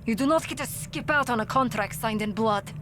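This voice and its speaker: A woman's voice: on the high side, a little fast, background noise, lightly acted. Woman playing a blunt, demanding arms dealer. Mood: demanding, irritated. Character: tough, indignant female arms dealer. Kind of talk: video game dialogue.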